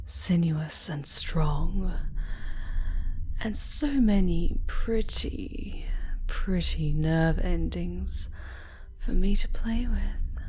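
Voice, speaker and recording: A woman playing a cruel, seductive, imperious dark elf noblewoman in an intimate ASMR roleplay, haughty and languid, with a breathy half-whisper. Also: on the low side, very slow, full character voice. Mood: gloating, sensual. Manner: haughty, languid, possessive purring.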